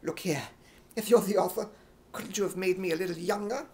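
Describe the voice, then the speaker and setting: A man's voice: sits high, brisk, darkish tone, pitched below the performer's own voice. Man doing a blustering old British army major. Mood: aggrieved. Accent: imitation British. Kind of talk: radio comedy acting.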